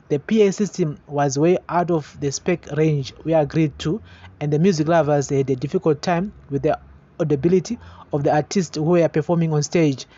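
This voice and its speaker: Zimbabwean guy doing online reaction commentary, kinda high voice, muffled lo-fi audio. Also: mellow. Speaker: online gossip channel host. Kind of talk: news reaction commentary, mixing languages. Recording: dull, lo-fi voice-over.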